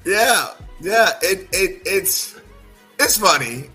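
A man's voice: high-pitched, expressive, slow pace, sharp tone, slightly rough, soft background music. A man hosting a casual sports talk podcast, chatty, often checking in with "right?". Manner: chatty, frequent "right?" tags. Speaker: podcast host.

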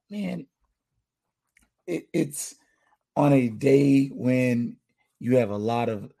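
A man hosting a sports podcast in a laid-back, chatty way, his voice a little hoarse. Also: mid-range voice. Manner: laid-back, chatty, gives shout-outs. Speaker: podcast host. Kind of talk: casual sports podcast chat.

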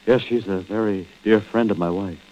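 An actor playing a scheming, deceitful husband in an old-time radio drama, smoothly feigning innocence with menace underneath. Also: male voice, on the low side, fairly even intonation, normal speed, mellow, close to an everyday voice. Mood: feigned concern. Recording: dull, noisy old radio recording.

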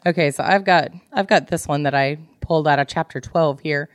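A woman's voice: low-pitched, a little fast, dry recording. A woman chatting casually as a podcast co-host, candid and joking with salty language. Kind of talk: casual chat about books.

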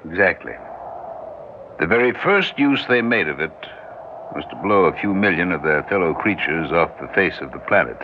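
An actor playing a measured, pedantic alien scientist in an old-time radio drama. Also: male voice, low voice, lively intonation. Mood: detached lecturing. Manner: measured, pedantic, hesitant at times. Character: learned, deliberate alien scientist doctor, male, cautious and detached. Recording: muffled, noisy vintage radio recording.

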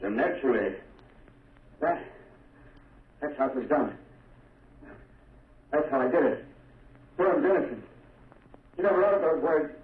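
A dying impersonator's halting confession on a phonograph record. The laboured, melodramatic voice sounds distant and echoey, squeezed thin like an old radio broadcast. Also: male voice, a little high, expressive, phone-quality sound. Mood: weak, confessing. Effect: played back from a phonograph record. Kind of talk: 1930s radio drama acting.

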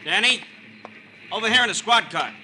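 An actor playing a matter-of-fact, plain-spoken American police sidekick in a vintage radio crime drama. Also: male voice, sits high, animated, moderate pace, bright, forceful tone, full character voice. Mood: calling out. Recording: noisy old radio, street sound effects.

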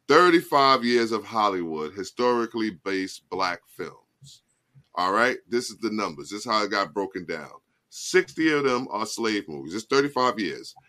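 A man's voice: lowish voice, expressive, normal speed, pushed and bright. A man in his forties hosting a casual chat show, talking loosely and often checking in with "you know what I mean". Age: 40s. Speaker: podcast host.

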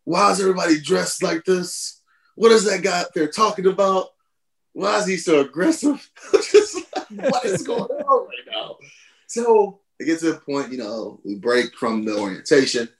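A man in his thirties, a former college football player, telling stories on a podcast in a casual, animated way, laughing and jumping high in pitch when he quotes himself. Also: normal speed, vocal fry. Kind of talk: casual storytelling.

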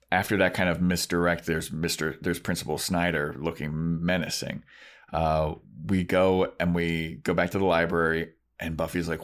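A man co-hosting a podcast, casually recapping a story in an informal way and quoting the characters as he goes. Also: low voice. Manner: informal retelling with acted-out quotes. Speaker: podcast co-host. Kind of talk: casual chat recapping a TV episode.

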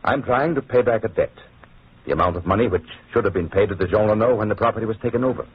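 Courteous earnest nobleman prisoner, low, fast, radio drama, tinny old recording. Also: husky. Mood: solemn confession. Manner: courteous, conscientious. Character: earnest, honourable French nobleman, wronged prisoner.

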